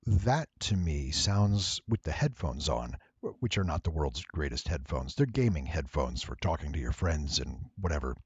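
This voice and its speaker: Deep voiced guy doing a casual tutorial, kinda husky, pitch moves around a lot, dull dry recording. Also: very animated, moderate pace, hardly any pauses, a little mellow, dry recording. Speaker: voice actor giving a software tutorial. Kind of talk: casual, unscripted screen tutorial.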